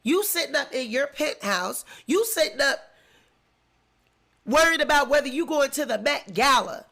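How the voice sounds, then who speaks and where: A woman's voice: high-pitched, animated, normal speed, gravelly, sharp tone. A congested woman with the flu giving indignant, emphatic celebrity commentary in a vlog. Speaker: commentary vlogger.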